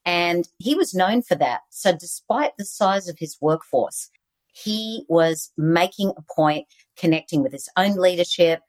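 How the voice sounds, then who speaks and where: An Australian woman, a guest author on leadership, giving earnest, animated interview answers over a video call. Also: lowish voice, measured pace.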